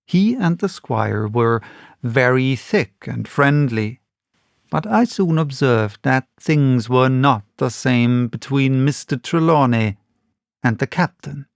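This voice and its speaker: A man reading a classic story as scripted narration, deliberately and in short measured phrases. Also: medium pitch, expressive, gravelly, no echo, clean studio sound. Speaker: audiobook narrator.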